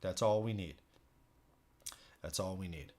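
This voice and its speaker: A man hosting a solo board game stream, talking informally, thinking out loud and often repeating himself as he plays. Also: deep voice, unhurried. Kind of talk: casual live stream commentary while playing.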